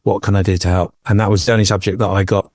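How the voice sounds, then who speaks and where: A man's voice: low voice, flat delivery, very fast, darkish tone, no echo, studio quality. A man in his fifties from England, talking on a podcast and telling personal stories with a joking, anecdotal touch. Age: 50s. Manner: jokey, anecdotal storyteller. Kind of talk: casual chat, personal storytelling.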